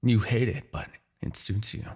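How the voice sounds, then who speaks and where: A man acting a menacing, husky demon king. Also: medium pitch, steady tone, moderate pace. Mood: pained, gloating. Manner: menacing, theatrical, sardonic. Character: proud, bitter, centuries-old male demon king. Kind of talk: fantasy ASMR audio roleplay acting.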